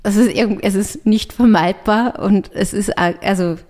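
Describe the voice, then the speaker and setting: A woman's voice: mid-range voice, normal speed, a little mellow. A woman writer and artist talking reflectively in an interview, often restarting and searching for words. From Austria. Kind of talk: thoughtful conversational interview.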